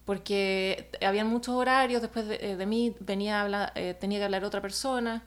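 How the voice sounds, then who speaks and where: A woman's voice: mid-range voice, fairly even intonation, fairly quick. A woman, an illustrator telling a personal story in a casual chat, hesitant with many fillers.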